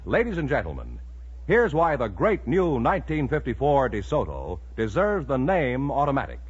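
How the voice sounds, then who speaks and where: A man's voice: mid-range voice, huge pitch swings, moderate pace. A man reading a commercial as an announcer in 1950s radio advertising style. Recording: muffled, noisy 1950s radio broadcast.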